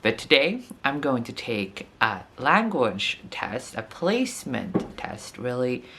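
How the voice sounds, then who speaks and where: A man's voice: medium pitch, very animated, creaky, noisy background. A young man with a Swedish accent, a language teacher talking casually to camera and sounding out foreign words as he thinks aloud. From Sweden. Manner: slow and musing, sounds out foreign words tentatively. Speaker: language teacher and video host.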